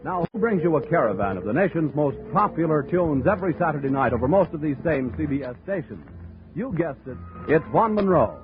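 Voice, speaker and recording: A man's voice: fairly high pitch, big pitch swings, brisk. A male radio announcer reading credits and a promo in polished 1940s style. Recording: tinny 1940s radio broadcast with music.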